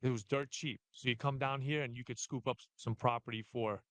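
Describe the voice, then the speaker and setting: Podcast guest: relaxed, jokey New man in his forties, mid-pitched voice, dry close mic. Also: no room sound. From the Bronx, New York. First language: Albanian. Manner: easygoing, joking, talks in quick fragments. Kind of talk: casual chat.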